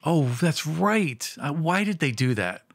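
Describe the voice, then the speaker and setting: A man's voice: fairly high pitch, sing-song, unhurried, gravelly. A man co-hosting a podcast, chatting casually and riffing on jokes. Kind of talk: casual joking chat.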